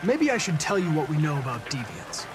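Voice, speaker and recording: Young android cop in a game, formal n precise, kinda high male voice, noisy background. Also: huge pitch swings, fairly quick. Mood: earnest, composed. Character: polite young male android detective, earnest and analytical. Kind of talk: video game acting.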